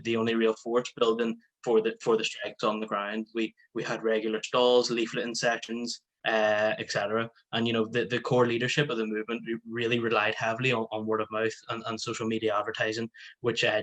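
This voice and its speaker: A young man, giving a spoken report at an online meeting over a home computer mic on a video call, halting and full of repeated words and fillers. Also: lowish voice, a bit bright. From Northern Ireland. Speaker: guest speaker.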